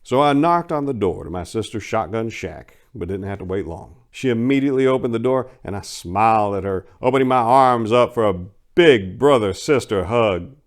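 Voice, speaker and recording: A man's voice: fairly low pitch, animated, normal speed, few pauses. A man performing as a host and dramatic story reader, with a theatrical, emphatic, wry delivery. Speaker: writer and channel host performing dramatic readings. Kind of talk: dramatic first-person story reading.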